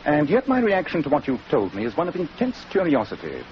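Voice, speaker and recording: A man playing a shrewd, commanding British gentleman detective in 1940s radio acting, crisp, authoritative and dramatic. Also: a little high, wildly swooping pitch, a little fast. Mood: intrigued. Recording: muffled, noisy old radio recording.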